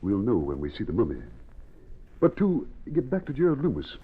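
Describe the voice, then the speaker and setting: An actor playing an earnest, grave archaeologist who lectures formally and carefully, in old-time radio drama style. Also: male voice, mid-range voice, huge pitch swings, normal speed, slightly rough. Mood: grave explaining. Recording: muffled, noisy old radio recording.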